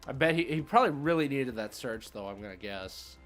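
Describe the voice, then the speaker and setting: A man with a mid-pitched voice commentates his card game live for a stream. He talks in an informal, slangy way and thinks aloud through his moves. Music plays behind his voice. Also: animated, a little fast, a bit bright.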